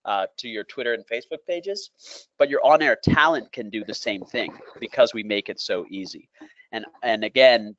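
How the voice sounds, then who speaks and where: A man giving an unscripted sales presentation as a company presenter, explaining in a halting way and repeating phrases. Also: medium pitch, fairly bright tone. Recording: dull, lo-fi audio in an echoey room.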